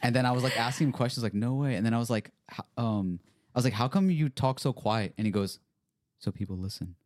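A man's voice: medium pitch, quick pace, darkish tone, dry recording. A man co-hosting a casual podcast, telling stories and laughing often. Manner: laughs often, tells anecdotes. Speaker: podcast co-host.

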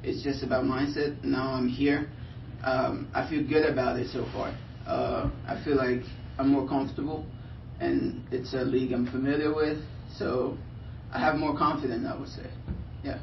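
A man's voice: mid-range voice, normal speed, hardly any pauses. A young man from Liberia, a pro soccer player, answering press questions hesitantly with many fillers. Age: young adult. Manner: hesitant, filler-heavy, soft-spoken. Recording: roomy, distant, muffled, light noise.

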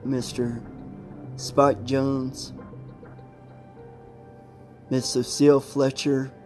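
A man hosting a podcast, informally signing off in short, halting chunks. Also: mid-range voice, flat delivery, darkish tone, faint music behind. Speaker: podcast host.

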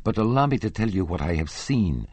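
A man's voice: low-pitched, sing-song, brisk, darkish tone, husky, dry recording, pitched above the performer's own voice, full character voice. A man voicing a lovesick young nobleman in an audiobook, earnest, formal and pleading. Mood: earnest, alarmed.

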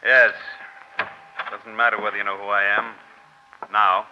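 A man's voice: on the low side, little pitch movement, a little slow, close to an everyday voice. A man playing a vengeful, scheming crooked lawyer with a curt delivery in an old-time radio crime drama. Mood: cold, vindictive. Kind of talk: 1940s radio acting. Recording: noisy, dull old-time radio recording.

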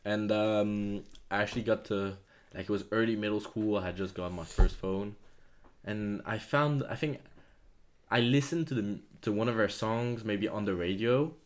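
A man's voice: on the low side, moderate pace. A young man from France telling a rambling story on a student podcast with many fillers. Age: young adult. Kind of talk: casual podcast chat.

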